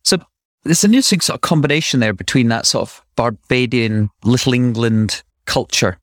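A man hosting a podcast, who reads his intro smoothly and chats with small restarts. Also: mid-range voice, a little fast, no echo, clean studio sound. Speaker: podcast host. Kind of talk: interview podcast with scripted intro. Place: home in Brooklyn, remote call.

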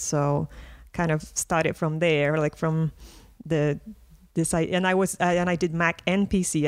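A woman's voice: low-pitched, darkish tone, no echo. Middle-aged brazilian woman, bit of south london in her accent, chatty and laughy, dry close sound. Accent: Brazilian with a South London mix. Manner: chatty, laughs often, restarts sentences. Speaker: guest. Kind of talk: casual interview chat.

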